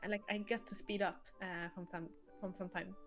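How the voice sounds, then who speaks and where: A woman's voice: mid-range voice, level tone, moderate pace, faint music behind. A woman co-hosting a casual livestream as a game creator, thinking aloud in a hesitant way.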